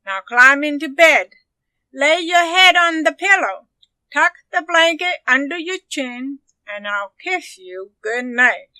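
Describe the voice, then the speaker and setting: A woman voicing an energetic, doting grandmother in a children's storybook, with emphatic, sing-song bedtime fussing and exclamations. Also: high-pitched, slow pace, dry recording, heavily acted. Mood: fond, instructing.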